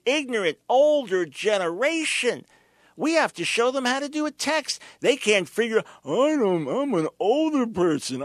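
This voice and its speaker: A man's voice: very high-pitched, a bit bright, no room sound, studio quality. An older male host giving emphatic, preacherly commentary to his listeners. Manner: emphatic, preacherly, mocking at times. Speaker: radio show host.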